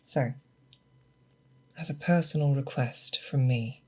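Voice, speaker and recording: A woman playing a seasoned, grave and protective female monster hunter in a slow, hushed, breathy ASMR roleplay, close to the microphone. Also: rumbling low, full character voice. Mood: earnest, protective. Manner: slow, deliberate, hushed, with pauses. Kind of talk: ASMR roleplay, close soft speech and whispers. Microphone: close binaural microphone.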